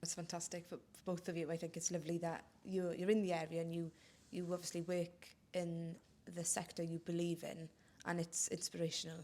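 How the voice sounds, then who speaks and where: A woman's voice: fairly low pitch, completely flat delivery, fairly quick, mellow. A woman hosting a podcast, encouraging her guests and often repeating her words as she speaks. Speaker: podcast host. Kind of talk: interview questions.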